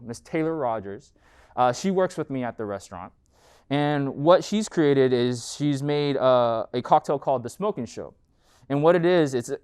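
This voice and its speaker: American guy doing a casual guest talk, really clear smooth voice, middling pitch, says like and right a lot. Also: a little mellow. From United States. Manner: conversational, peppered with "like" and "right?". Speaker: entrepreneur giving a guest lecture. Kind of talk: informal talk and Q&A.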